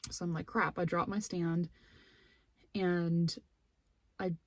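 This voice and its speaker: A woman's voice: fairly low pitch, level tone, takes it slowly, dry recording. A woman bowhunter filming her own hunt, whispering in a breathless, shaky, anxious way, shaken by adrenaline, outdoors in the woods. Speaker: bowhunter vlogger. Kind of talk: whispered hunting vlog commentary.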